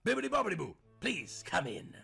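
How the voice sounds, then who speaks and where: A man's voice: high-pitched, wildly swooping pitch, a little fast, gravelly, pushed and bright, breathy edge, soft background music, voice pushed up, full character voice. A man voicing a courteous but easily ruffled devil in a storybook reading, with formal, old-fashioned phrasing. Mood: courteous, showy.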